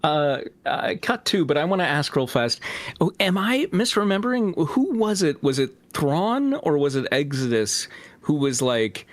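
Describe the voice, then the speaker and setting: A man's voice: fairly high pitch, sing-song, measured pace, dry recording. A man narrating and chatting casually as co-host of a fanfiction reading stream. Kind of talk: casual chat and script narration.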